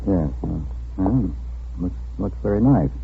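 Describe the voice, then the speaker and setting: An actor playing a mild, evasive, nervous husband in a 1940s radio drama, hesitant and stammering. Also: male voice, sits low, expressive, slow pace, vocal fry, slight background noise, heavily acted. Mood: flustered. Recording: tinny old radio recording with music.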